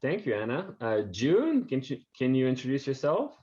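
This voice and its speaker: A man from Belgium leads an online workshop as its host. His pitch sits mid-range and his talk is upbeat and filled with hesitations. The sound is slightly muffled, like call audio. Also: very animated, moderate pace, warm tone. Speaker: host, bank program manager. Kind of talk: webinar hosting. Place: video call. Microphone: video call.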